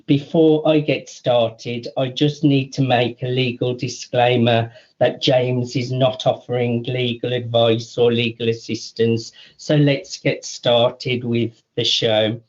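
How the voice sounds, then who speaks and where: Slow-talking male radio host. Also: mid-range voice, little pitch movement, muffled recording. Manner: slow, deliberate, enthusiastic praise. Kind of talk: radio interview hosting.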